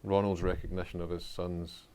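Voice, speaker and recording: A male podcast host with a low voice that stays level, with little rise and fall. He talks thoughtfully and fills his pauses with soft ums. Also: little pitch movement, clear, resonant tone. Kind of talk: podcast conversation.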